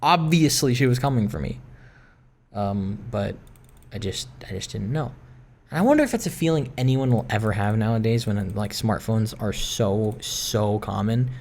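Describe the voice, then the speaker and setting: A young man rambling casually and candidly in personal stories over gameplay, introspective, halting and questioning himself. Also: on the low side, expressive, darkish tone. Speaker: gaming video maker.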